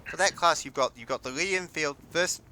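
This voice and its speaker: A man's voice: fairly high pitch, a little fast, pushed and bright, a little hiss or hum. A young man with a New Zealand accent giving casual gameplay commentary and chatting. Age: young adult. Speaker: host of a gaming video. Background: faint game gunfire and artillery.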